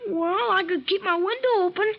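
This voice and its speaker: A woman's voice: very high voice, moderate pace, gravelly, dull audio. An actress voicing a four-year-old boy full of eager, childlike questions in a 1940s radio drama, heard from an old broadcast. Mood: pleading.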